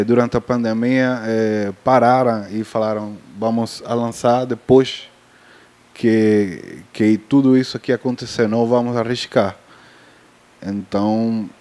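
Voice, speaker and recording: A man's voice: mid-range voice, dark tone. A man from Cuba with a Cuban Spanish accent, speaking Portuguese as a second language in a hesitant panel talk full of fillers and restarts. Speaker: festival panelist on film distribution.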